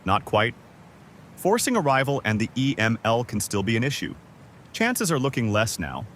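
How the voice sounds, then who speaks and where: A man's voice: mid-range voice, huge pitch swings, normal speed, a bit bright. A man playing a level-headed but exasperated storm chaser in casual amateur drama dialogue. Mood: matter-of-fact. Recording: very noisy outdoor vehicle ambience.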